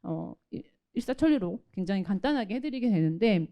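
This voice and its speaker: A woman's voice: medium pitch, lively intonation, brisk, vocal fry, warm tone. A woman giving a conversational, explanatory tech product presentation and demo. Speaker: tech product presenter from a company's automation division.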